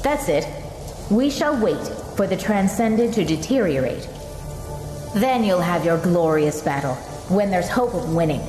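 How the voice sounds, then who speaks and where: A woman playing a stern, scornful warrior in a video game cutscene, cautious and cutting, quick to scold. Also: fairly low pitch, expressive. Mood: firm. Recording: echoey hall reverb with music behind.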